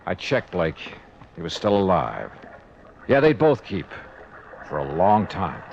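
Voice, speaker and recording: An actor playing a wry, tough insurance investigator in a 1950s radio drama, talking and narrating in a punchy hardboiled way. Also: male voice, low-pitched, huge pitch swings, a little slow, creaky, heavy background noise, exaggerated voice. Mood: wry, clipped. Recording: muffled vintage radio recording with music.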